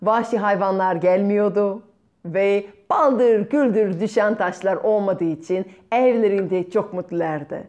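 A middle-aged woman telling a children's fairy tale expressively, with dramatic pauses. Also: mid-range voice, darkish tone, highs cut off. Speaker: children's storyteller. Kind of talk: performed fairy-tale storytelling. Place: bright room at home by a window. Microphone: small clip-on lapel mic.

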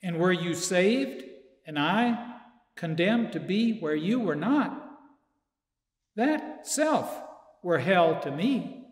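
Older man reading poetry slowly in a church. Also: sits high, expressive. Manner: slow, measured reading from a sheet. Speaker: poetry reader. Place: church chapel. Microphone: far camera, stand mic in front. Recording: echoey church with long reverb.

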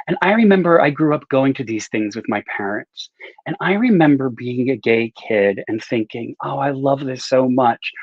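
A man's voice: medium pitch, no echo. A middle-aged man, a novelist telling personal stories casually on a video call, often restarting his sentences as he goes. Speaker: novelist, interview guest. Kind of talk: casual conversational storytelling. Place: home room with bookshelves, indoors. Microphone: video call, no visible microphone but earbuds. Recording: slightly dull webcam call audio.

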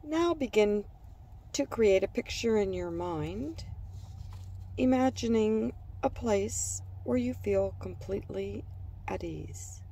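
A woman narrating a scripted guided relaxation for children in a gentle, soothing manner, leaving pauses between phrases. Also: mid-range voice, animated, far from the mic, low-quality recording.

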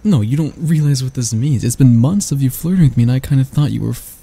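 A man playing a grumpy but soft-hearted barista, flustered and flirty, stammering and teasing in a romantic ASMR roleplay. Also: mid-range voice, quick pace, gravelly, husky. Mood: stunned, rambling.

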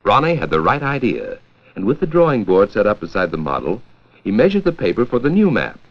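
An American man narrating a 1940s educational film from a script, with a clear, measured storytelling delivery. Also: on the low side, huge pitch swings. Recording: dull, lo-fi 1940s 16mm film soundtrack.

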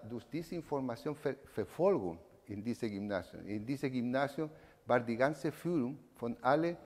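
A man in his sixties from Chile with a Chilean Spanish accent, giving a personal testimony to an audience, searching haltingly for words in a language not his native Spanish. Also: medium pitch, darkish tone. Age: 60s. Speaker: eyewitness speaker giving a testimony. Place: indoor event room, standing at a lectern. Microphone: microphone on a stand. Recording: reverberant hall.

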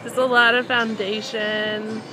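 A woman's voice: on the high side, level tone, a little slow, music in the background, low-quality recording. A young woman chatting casually as she walks through a theme park, filming on a handheld phone and rambling with lots of ums. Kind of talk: casual walking tour commentary. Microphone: handheld phone camera at arm's length.

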